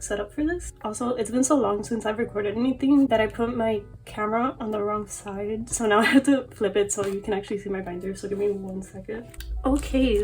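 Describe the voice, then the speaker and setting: A young woman chats casually to her camera, rambling with plenty of fillers and little laughs. Her voice carries some fry and sounds slightly off from allergies. The pitch sits in a middle range for a woman. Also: darkish tone, rough recording. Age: young adult. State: voice a bit off from allergies. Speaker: collector vlogger.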